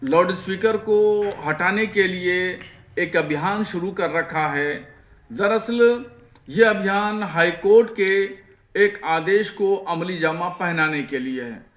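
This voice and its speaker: A man, a cleric, making a measured, deliberate statement to reporters. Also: sits high, a bit bright, roomy sound.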